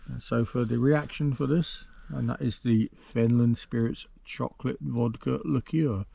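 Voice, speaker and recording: An English man with a gravelly voice chats through a drink review at a woodland campsite. He talks in measured, roundabout sentences full of restarts. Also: medium pitch, steady tone, dark tone. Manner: measured, explains at length with many restarts. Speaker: vlogger host. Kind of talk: casual outdoor review chat. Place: outdoors in woods at a campsite.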